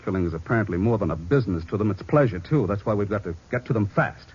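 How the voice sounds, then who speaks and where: An actor playing a gruff American police boss who gives firm, authoritative orders in an old radio crime drama. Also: male voice, lowish voice, fast talker, darkish tone. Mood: commanding. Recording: dull old radio recording.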